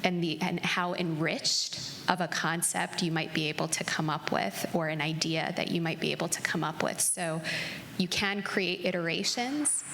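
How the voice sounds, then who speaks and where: A woman giving a measured answer on a conference panel. Her low voice has a gravelly fry, and it rings out with long reverb through a large ballroom over a faint hum. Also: fairly bright tone.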